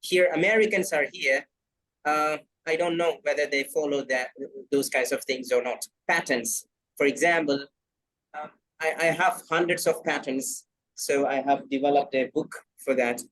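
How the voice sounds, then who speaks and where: A man's voice: fairly high pitch, moderate pace, fairly bright tone, rough recording. A man from Kerala with a Malayali accent, whose first language is Malayalam, talking as an English trainer in an online video class, earnest and insistent, repeating his points. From Kerala, South India. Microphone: video call.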